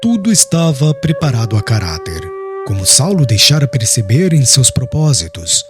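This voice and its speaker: A man with a Brazilian accent reading a scripted audiobook narration in a solemn, measured, dramatic manner. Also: a little high.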